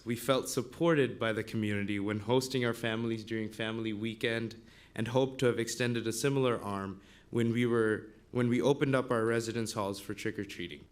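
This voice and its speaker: Young man from Bangladesh, student rep, reads a measured formal address in a council chamber. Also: medium pitch. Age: 20s. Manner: formal, measured delivery of a written speech. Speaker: student representative. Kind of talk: prepared public address.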